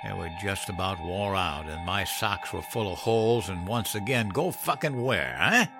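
A man voicing a gruff, cynical old country man with a folksy drawl, telling of hard times in a horror story. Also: low voice, lively intonation, moderate pace, music in the background. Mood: indignant, scornful. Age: older. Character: cynical old country man recounting grim Depression-era hardship. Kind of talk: dramatized horror story narration.

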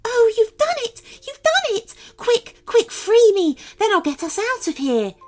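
A woman voicing a small, eager male phoenix from a children's story, squeaky and excited. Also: measured pace, soft background music, heavily acted. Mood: excited, urgent.

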